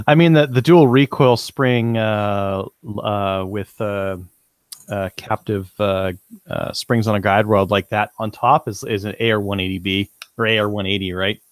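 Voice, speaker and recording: A man's voice: lowish voice, steady tone, moderate pace, no echo. A middle-aged man on a headset mic during a video call, asking probing, skeptical questions in casual chat. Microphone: headset with boom mic, video call.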